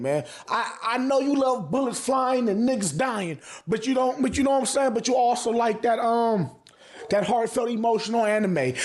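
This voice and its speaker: A man giving casual, opinionated anime commentary as a vlog host, speaking in emphatic slang with frequent swearing and repeated phrases. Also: sits high. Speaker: anime reviewer and channel host.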